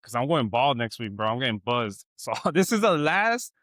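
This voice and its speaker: A young man from South Texas hosting a podcast in a barbershop, telling stories in slangy, animated casual chat. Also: medium pitch, normal speed, dry recording, studio quality. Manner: animated storytelling, slangy, says "bro" and "man" a lot. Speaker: podcast host.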